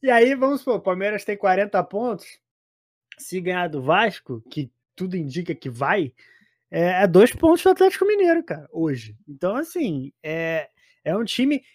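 A Brazilian man with a notably high-pitched voice talks football in a loose, slangy style. He is emphatic and repeats phrases to drive them home. The sound is dry, with very little room around it. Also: very animated, moderate pace, no echo. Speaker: football podcast commentator. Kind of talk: casual football chat.

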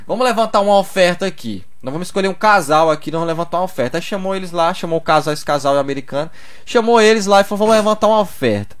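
A man's voice: high voice, very fast. A young man in Brazil, telling stories in a loose, slangy casual chat full of "cara". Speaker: podcast guest.

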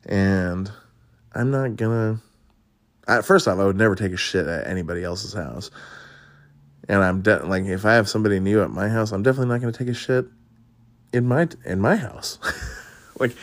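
A man's voice: fairly low pitch, animated, moderate pace, pauses often, no echo. A man hosting a podcast, chatting casually through would-you-rather questions, rambling and swearing with frequent laughs. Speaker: podcast host.

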